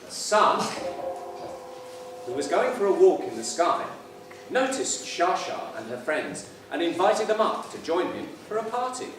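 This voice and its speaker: A man's voice: sits high, a little slow. Far-off man in an echoey hall. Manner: animated storytelling, then offhand announcing. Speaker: event presenter. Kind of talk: reading a children's story aloud to an audience. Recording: echoey hall, distant mic, loud ambient noise.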